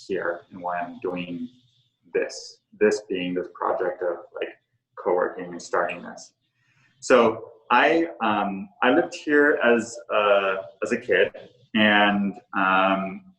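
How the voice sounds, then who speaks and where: A middle-aged man, the co-founder of a coworking space, talking casually and haltingly with many fillers and restarts. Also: fairly low pitch, a bit bright. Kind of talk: casual talk on a virtual tour. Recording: echoey room.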